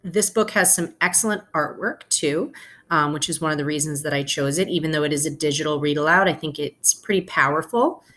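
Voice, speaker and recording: Lower-voiced woman teacher reading aloud. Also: fairly quick, fairly bright tone. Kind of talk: read-aloud of a children's picture book with informal asides.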